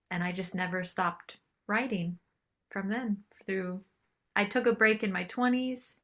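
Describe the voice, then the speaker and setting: A woman's voice: lowish voice, gravelly, fairly bright tone. A middle-aged American woman chatting casually as a singer-songwriter being interviewed.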